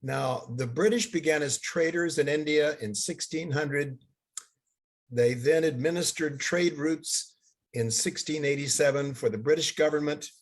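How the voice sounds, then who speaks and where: An older man who grew up in Bangladesh, giving a halting seminar lecture over slides, with many fillers and restarts. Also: on the high side.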